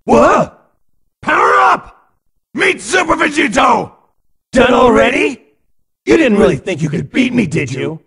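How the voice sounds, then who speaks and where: A high, raspy fused warrior hurls taunts and battle cries at full shout. His bright, strained voice leaps up and down in lively swings, each line bitten off dry and close to the mic. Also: a little slow, long pauses, no room sound, exaggerated voice. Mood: cocky taunting. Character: cocky fused male warrior, young adult. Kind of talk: video game voice clips. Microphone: studio recording.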